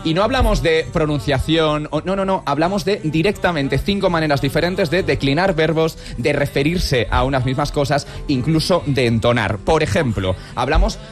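A man from the Basque Country with a fairly high voice talks casually over faint background music. He presents like a playful teacher, animated and teasing, tossing out questions. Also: normal speed, few pauses. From Basque Country, Spain. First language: Spanish and Basque. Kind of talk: casual chat, playful lesson.

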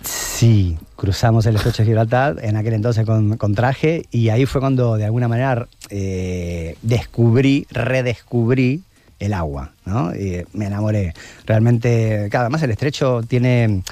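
Man, middle-aged, Argentine accent, dark relaxed timbre, lowish pitch, reflective interview guest. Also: unhurried, dry recording. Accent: Argentine Spanish. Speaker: interview guest, long-distance open-water swimmer. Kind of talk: conversational interview answers.

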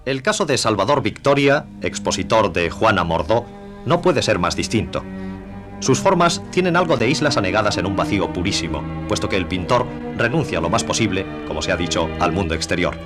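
A man narrates scripted art commentary in a formal, literary register. His delivery is very animated in pitch, with music playing behind him. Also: fairly quick.

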